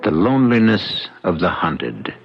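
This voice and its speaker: A man hosting and narrating a radio mystery in a grave, deliberate, theatrical style. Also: sits low, big pitch swings, noisy background. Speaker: host and narrator. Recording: dull 1975 radio broadcast, music behind. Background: music.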